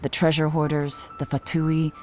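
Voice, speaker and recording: A poised female spymaster, voiced by a woman, speaks in a low, cool voice. She gives orders crisply and never hurries. Clear game music swells behind her. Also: monotone, darkish tone, lightly acted. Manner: crisp, commanding, unhurried. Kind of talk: video game voice acting.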